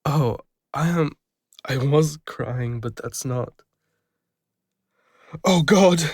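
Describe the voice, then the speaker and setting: A man with an English accent playing a gentle, bashful lone ranger and former healer in an intimate audio roleplay, speaking hesitantly. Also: mid-range voice, breathy edge, no room sound, full character voice. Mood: flustered, tearful. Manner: hesitant, soft-hearted, halting. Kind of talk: intimate scripted audio roleplay addressed to the listener.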